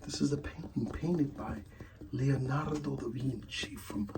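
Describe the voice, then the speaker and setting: A man speaking softly in an ASMR roleplay as an art gallery dealer, pausing mid-phrase and often slipping into a whisper. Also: mid-range voice, recorded from a distance, noisy background. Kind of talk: soft-spoken ASMR roleplay, partly whispered.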